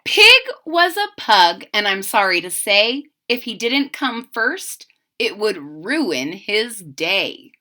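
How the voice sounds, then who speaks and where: A woman reading a children's picture book aloud in an expressive, sing-song manner, speaking directly to young listeners. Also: mid-range voice, slow.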